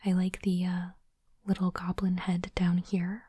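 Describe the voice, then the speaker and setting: A woman whispering in a breathy, unvoiced voice, slow and deliberate, in the style of an ASMR host. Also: lowish voice, monotone, dry recording, studio quality. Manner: slow, deliberate, softly reading aloud. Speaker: host of an ASMR unboxing channel.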